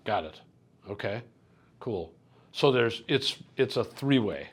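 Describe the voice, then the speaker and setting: A man's voice: on the low side, animated, slow pace, husky. A man conducting a casual product interview as a reviewer, methodically checking and repeating what he hears. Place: hi-fi trade show exhibit.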